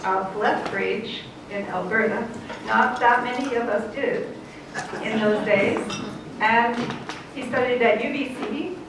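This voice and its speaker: Woman moderator, conversational, recorded far off in a noisy, echoey hall. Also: medium pitch. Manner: warm, conversational, some restarts. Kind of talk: introducing panelists. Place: conference hall.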